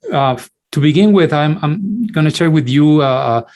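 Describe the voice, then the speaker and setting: A man's voice: a little high, lively intonation, a little mellow. Guy, doctor giving a talk online, stumbles and repeats words a lot, middle-aged. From Cuba. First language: Spanish. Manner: halting, many restarts and repeated words. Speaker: infectious disease physician, lecturer. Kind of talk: online lecture.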